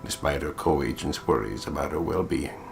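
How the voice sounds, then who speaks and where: A man reading visual novel text aloud as a deliberate, storyteller-like narrator. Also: a bass voice, vocal fry, noisy background. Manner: deliberate, storyteller-like, playful putting on of voices. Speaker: gaming video co-player reading the text aloud. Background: game music.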